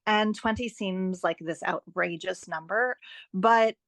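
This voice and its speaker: A woman's voice: mid-range voice, takes it slowly, a bit bright, no echo. A woman giving advice as a guest in a podcast interview, speaking emphatically and encouragingly and often posing rhetorical questions. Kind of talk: podcast interview, explanatory talk.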